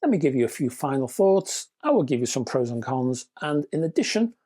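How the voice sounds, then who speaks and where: A man presenting a scripted product review, speaking in measured, deliberate phrases with chatty, whimsical turns. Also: mid-range voice, big pitch swings, mellow, slightly rough. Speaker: reviewer and presenter of an audio gear channel.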